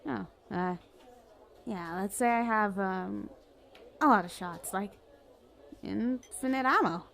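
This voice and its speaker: A woman playing a smug, lovestruck female villain in an ASMR roleplay, coaxing and teasing the listener in a creaky, conversational voice. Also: mid-range voice, slow pace, fairly bright tone. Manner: teasing, cajoling, conversational with hesitant stammers. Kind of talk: ASMR roleplay, addressing the listener as love interest.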